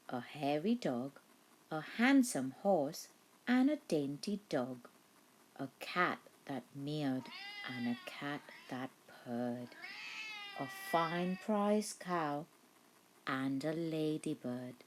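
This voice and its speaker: Slow, low-pitched woman reading a storybook. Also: slight background noise, rough recording. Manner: deliberate, animated with acted animal noises and voices. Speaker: teacher reading a storybook aloud. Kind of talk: expressive children's storybook narration.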